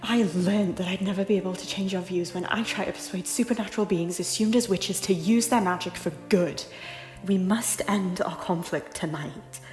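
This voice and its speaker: A woman's voice: lowish voice, normal speed, darkish tone, close to an everyday voice. A woman playing a repentant former temptress, an earnest and defiant noble lady speaking formally in a theatrical audio drama. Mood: impassioned, frustrated. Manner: formal, archaic, impassioned speech. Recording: roomy echo, music underneath. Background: music.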